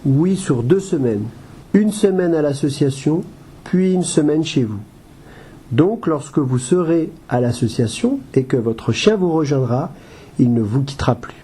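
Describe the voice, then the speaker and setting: A man playing a patient, formal and polite association adviser with a soft, slightly husky voice in an amateur audio drama. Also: on the high side, expressive, unhurried. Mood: courteous, informative. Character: courteous, patient male guide-dog association adviser.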